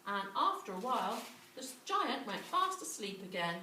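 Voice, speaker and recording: A woman telling a children's story in an animated way, with repetition, dramatic pauses and questions to her listeners. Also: fairly high pitch. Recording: roomy, distant mic, clearly noisy.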